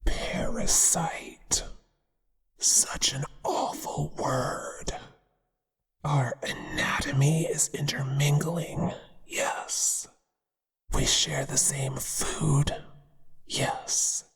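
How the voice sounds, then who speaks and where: A performer voicing a possessive male spider monster, a devoted but menacing lover, in a hushed ASMR whisper with a crooning, deliberate delivery. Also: mid-range voice, steady tone, vocal fry, husky, heavily acted. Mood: possessive, pleading. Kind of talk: whispered ASMR roleplay acting. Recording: long reverberant echo.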